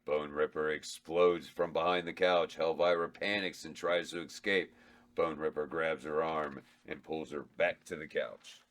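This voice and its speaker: A man with a very low voice reads out stage directions at a script table read. He keeps a matter-of-fact tone, even through the bawdy parts. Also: unhurried. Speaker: narrator reading stage directions. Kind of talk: reading script directions aloud.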